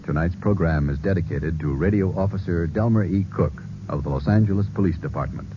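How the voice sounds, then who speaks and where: A man's voice: deep voice, brisk, heavy background noise. An American man announcing solemnly in the formal style of 1940s radio. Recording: dull old radio broadcast with music.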